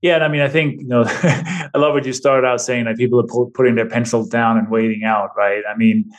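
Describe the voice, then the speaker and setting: A middle-aged man speaks as a podcast guest, casual and open. His delivery keeps looping back on itself, with frequent restarts and a habit of checking in with "right?" His pitch sits in an ordinary middle range. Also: fairly even intonation, a little fast. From Denmark. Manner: restarts often, tags points with "right?". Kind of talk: casual interview talk.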